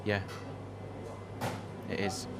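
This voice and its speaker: An actor playing a younger, reserved and uncertain racing driver in a video game cutscene, answering in a terse, subdued way. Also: male voice, drawn-out words. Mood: subdued, sincere. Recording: very loud outdoor vehicle and ambience noise.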